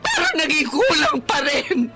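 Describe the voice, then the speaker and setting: Worried pleading filipino mom, radio drama, really animated, faint music. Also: female voice, high voice, moderate pace. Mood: anguished, crying. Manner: pleading, insistent, emotional. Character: anxious, strict, self-sacrificing mother of a grown daughter.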